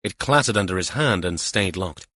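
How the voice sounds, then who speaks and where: A man's voice: low voice, expressive, moderate pace, bright, forceful tone, no echo, clean studio sound. A man reading a mystery novel aloud as scripted audiobook narration.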